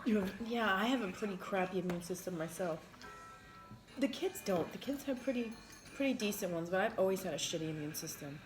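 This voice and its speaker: A woman's voice: medium pitch, animated, brisk, faint music behind. A woman with a cough from a recent flu, talking frankly and swearing freely as she chats over a meal. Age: adult parent. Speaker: mukbang co-host. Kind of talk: casual chat while eating.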